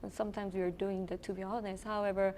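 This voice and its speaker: Korean-accented woman in her thirties, mid-pitched, thoughtful and hesitant with frequent fillers; faint room hiss. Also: flat delivery, quick pace, a little mellow. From South Korea. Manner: thoughtful, with frequent ums and you-knows. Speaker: internal medicine resident. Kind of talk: interview answers.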